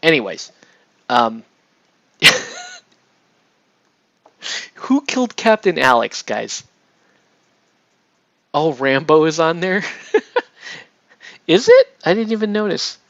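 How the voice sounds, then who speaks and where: A man chatting casually on a livestream while he paints miniatures, rambling and excitable, often breaking off mid-sentence. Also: fairly high pitch, takes it slowly, creaky, pauses often, no echo, muffled recording. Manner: rambling, excitable, self-interrupting with laughs.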